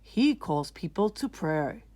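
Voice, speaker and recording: Woman as a calm, measured storybook dad. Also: lowish voice, no echo, exaggerated voice. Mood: explaining. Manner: measured explaining. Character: calm, instructive father.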